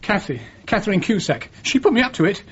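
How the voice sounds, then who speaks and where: A frightened Victorian hotel servant gone crooked, with a working-class English accent. His voice is high and swoops about as he whines and stammers in a servile way. The radio drama recording is muffled, with faint noise behind. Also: very animated, quick pace, breathy edge, full character voice. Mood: shaky confessing. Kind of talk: British radio drama acting.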